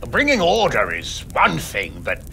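Gravelly, blunt nobleman. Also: on the high side, huge pitch swings, measured pace, sharp tone, a little hiss or hum, full character voice, low-quality recording. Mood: troubled. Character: gruff middle-aged bearded nobleman, blunt and sardonic. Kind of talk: video game cutscene acting.

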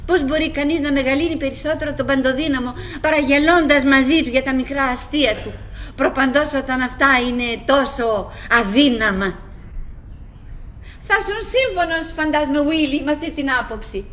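An actress playing a chatty, wistful middle-aged wife in a theatrical radio drama, musing and rambling with dramatic pauses. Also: female voice, high voice, a bit bright. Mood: wistful, earnest. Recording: noisy old radio drama recording.

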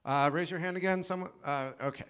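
A man hosting a tech meetup, taking audience questions and moving things along briskly. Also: a little high, fairly bright tone, clear, resonant tone. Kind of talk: event hosting.

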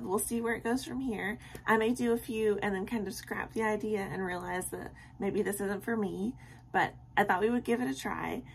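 A woman's voice: mid-range voice, creaky. Tired, scratchy-voiced young woman vlogging at bedtime. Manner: rambling and friendly, with many ums and soft laughs. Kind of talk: casual late-night chat to camera. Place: small bedroom at night.

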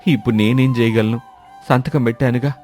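A man's voice: mid-range voice, fairly even intonation, brisk, darkish tone, close to an everyday voice. A man playing a naive village farmer and husband in a moral story, gloomy and resigned as he laments. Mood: resigned, hopeless. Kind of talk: dramatized Telugu moral story.

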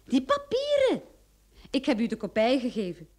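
An actress playing a sharp, confident female private detective in a radio play, explaining things in an assured, theatrical way. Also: sits high, wildly swooping pitch, normal speed, slight background noise. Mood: insistent. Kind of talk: Dutch-language radio drama acting.

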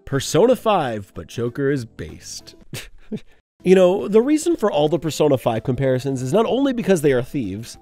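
A man in his twenties hosting a casual commentary video, talking in an animated way with sudden jumps in pitch. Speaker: video essay host.

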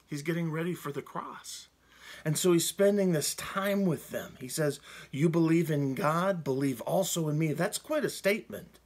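Middle-aged guy preaching at his webcam, slightly high voice, husky, earnest. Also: moderate pace. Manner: earnest, repeats words as he restarts. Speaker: pastor. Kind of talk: sermon. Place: home room, indoors. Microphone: webcam, seated close.

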